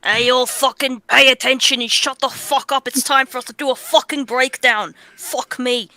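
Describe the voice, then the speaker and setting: Crisp, bright-voiced woman bantering on a livestream. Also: high-pitched, steady tone, moderate pace, no echo. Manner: playfully bossy, profane. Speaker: livestream co-host, channel owner.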